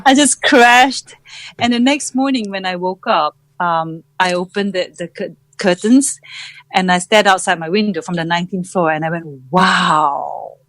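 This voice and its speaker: A woman's voice: on the low side, moderate pace, dry recording. A middle-aged woman from Malaysia, a podcast guest telling her story reflectively and searching for her words. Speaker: podcast guest, founder and coach. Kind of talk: reflective personal interview talk.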